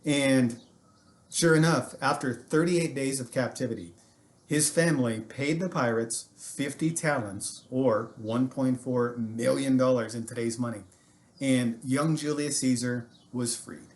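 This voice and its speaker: American guy teacher doing history storytime for students, casual n jokey, animated delivery, normal mid voice. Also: medium pitch, measured pace.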